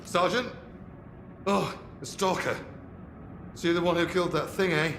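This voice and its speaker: Taunting soldier, echoey and noisy. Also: male voice, high voice, fairly even intonation, normal speed. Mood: wry, casual. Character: cocky hostile male soldier loyal to his orders. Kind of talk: video game cutscene acting. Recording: echoey room, noisy ambience.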